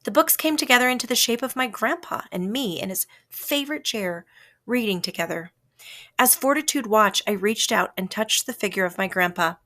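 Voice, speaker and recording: A woman reading a children's story aloud as scripted narration, with a dramatic, expressive delivery that varies for effect. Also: mid-range voice, moderate pace. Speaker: storybook reader.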